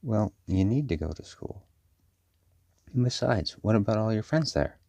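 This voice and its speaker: A man playing a firm, protective werewolf father in an audio roleplay, speaking to a child in a measured, caring but stern way. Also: fairly low pitch, lively intonation, vocal fry, no room sound. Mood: firm, parental. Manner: measured, parental, gently firm.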